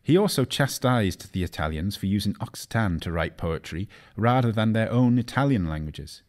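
Male narrator for scripted language lesson. Deep register, animated melody, didactic and precise delivery. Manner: measured, didactic, carefully enunciates foreign example words. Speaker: narrator of an educational language history video.

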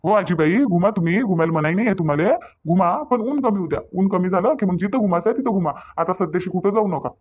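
A man's voice: sits high, animated, fast talker, narrow, tinny audio. A man voicing a reassuring village doctor in a cartoon, folksy and repetitive, with a Varhadi Marathi accent. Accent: Varhadi, Vidarbha Marathi. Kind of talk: cartoon dialogue.

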